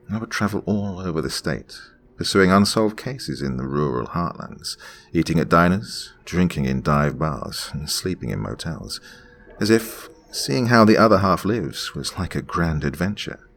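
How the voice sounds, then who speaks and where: Male narrator in his forties, deep rough voice with a lively lilt, slow reflective read. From Pennsylvania. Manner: measured, reflective storytelling. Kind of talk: scripted first-person narration.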